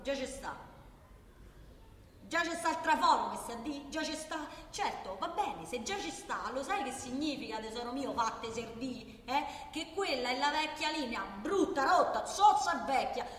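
An actress as a high-pitched, feisty Roman working woman. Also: fairly bright tone, natural voice. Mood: exasperated. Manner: exasperated, repetitive, colloquial, badgering. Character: feisty working-class woman arguing for high-speed rail. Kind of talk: theatrical radio drama monologue. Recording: distant, echoey hall with noise.

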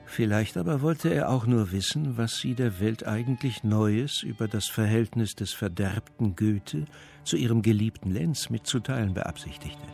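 A man narrating a radio crime drama in measured, long flowing sentences, with a slightly gravelly voice. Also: fairly low pitch, darkish tone. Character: composed narrator of a crime story. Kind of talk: scripted third-person radio drama narration. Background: music.

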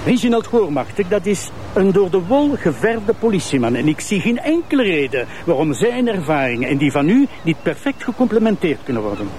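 A man's voice: high voice, sing-song, brisk, a little mellow, a little hiss or hum. A man with a Flemish accent playing an authoritative, exasperated public prosecutor in a naturalistic TV crime drama. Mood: firm, exasperated.

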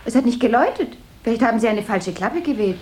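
A flighty, deferential female office secretary acted by a woman babbles on in a high, very lively voice, always eager to placate. It is 1970s radio drama, with light noise on the recording. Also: fast, close to an everyday voice. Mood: flustered, coy. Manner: rambling, babbling small talk, eager to placate. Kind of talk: 1970s German radio play acting.

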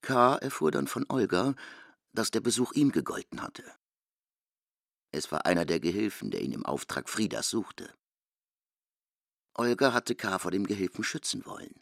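A man with a gravelly voice giving literary narration in a radio play. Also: on the low side, sing-song, moderate pace, long pauses, dry recording, studio quality.

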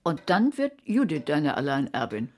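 A low-voiced, greedy older woman. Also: big pitch swings, moderate pace, slight background noise. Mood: scheming. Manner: dry, literary. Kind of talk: radio drama acting.

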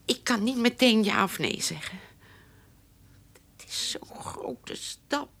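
An actress playing a frail, stubborn old farm mother, speaking haltingly in a radio drama. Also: on the high side, animated, gravelly, a bit bright, in a whisper, exaggerated voice. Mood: frail reluctance. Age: older. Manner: hesitant, halting.

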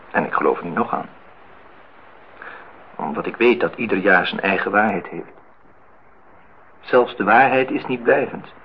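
Low-voiced, world-weary reflective man. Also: creaky. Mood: somber, musing. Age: 30s. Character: disillusioned, reflective man musing on truth and love. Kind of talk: Dutch radio play acting. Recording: dull, noisy old radio play recording.

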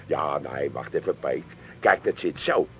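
Slick used-car salesman, wildly animated. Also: a bass voice, slight background noise, exaggerated voice. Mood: glib reassurance. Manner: fast-talking sales patter, brazenly glib. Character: slick, pushy male used-car salesman, shamelessly upbeat. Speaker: actor in radio play. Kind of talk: 1980s comic radio play acting.

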